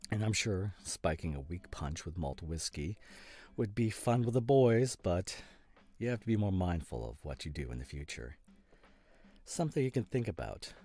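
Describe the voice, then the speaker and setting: Man acting a deep-voiced, smug, theatrical sleuth. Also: mellow. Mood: smug accusing. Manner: grandiose, deliberate, dramatic pauses. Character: smug, theatrical male amateur detective unmasking a culprit. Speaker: voice actor in an audio roleplay. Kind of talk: theatrical scripted ASMR roleplay monologue.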